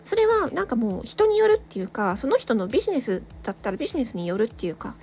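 A woman hosting a solo audio show, chatting casually and reflectively to listeners, thinking aloud with hesitant pauses. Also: fairly high pitch, big pitch swings, a little mellow, slight background noise. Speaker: solo audio channel host, amateur voice actor.

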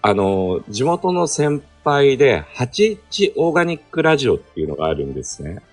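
A man chatting casually as a guest on a live audio show, politely and haltingly, thinking aloud as he goes. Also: mid-range voice, very animated, no echo. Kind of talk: casual chat. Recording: background music under the voice.

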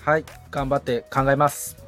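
A man in a casual consultation, asking tentative questions as a beginner and often chiming in with quick acknowledgements. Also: mid-range voice, level tone, fairly bright tone, faint music behind.

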